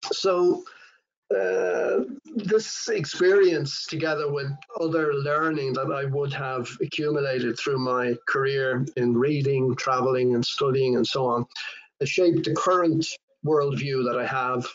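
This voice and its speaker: An Irish man in his fifties, a supply chain consultant, giving a measured, formal webinar talk with frequent fillers and restarts. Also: medium pitch, fairly even intonation. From Ireland. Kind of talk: webinar presentation. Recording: dull lo-fi webinar audio.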